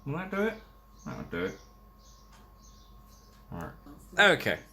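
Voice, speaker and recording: A man's voice: on the high side, huge pitch swings, a little slow, creaky, sharp tone. A man chatting casually on a live stream while painting and building a case, rambling and self-deprecating as he works. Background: faint music.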